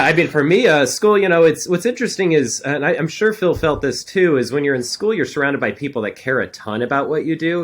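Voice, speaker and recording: A man co-hosting a podcast, explaining his views at length in a relaxed, reflective way. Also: medium pitch. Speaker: podcast co-host, designer. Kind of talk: casual podcast conversation.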